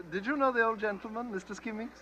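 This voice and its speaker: An actor playing an affable, smooth-talking, faintly sinister gentleman stranger in an old-time radio drama, smoothly inquisitive. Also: high-pitched, brisk, lo-fi sound. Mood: jovial.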